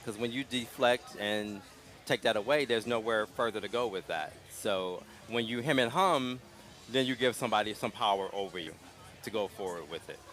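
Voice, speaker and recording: A man hosting a casual live interview at an outdoor street festival, speaking in a calm, gentle, unhurried way. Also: fairly low pitch. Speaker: interview host.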